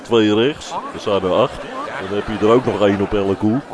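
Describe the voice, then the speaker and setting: A man playing the slow, dim-witted sidekick in a scripted comedy radio show, hesitating with many ums. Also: on the low side, over a noisy crowd, very noisy.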